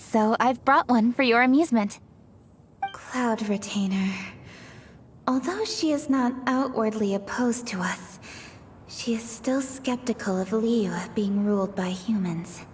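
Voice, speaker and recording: A woman plays a composed, calculating noble leader in a fantasy game. Her voice is a bit high, polite and self-assured. It rings with long reverb over a faint rumble. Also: close to an everyday voice. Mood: courteous. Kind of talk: scripted game dialogue.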